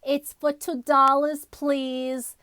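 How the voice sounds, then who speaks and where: A woman's voice: high-pitched, level tone, slow pace, bright, forceful tone, no room sound, pitched above the performer's own voice, heavily acted. A woman voicing a sweetly polite, simple bank customer as a comedy sketch character. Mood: chirpy, polite.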